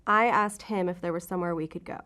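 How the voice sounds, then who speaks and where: An actress playing a guarded, defiant law-enforcement agent in an audio drama, with a sarcastic, defensive way of talking. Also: female voice, on the low side, steady tone, fast, bright, forceful tone. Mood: brazen, defensive.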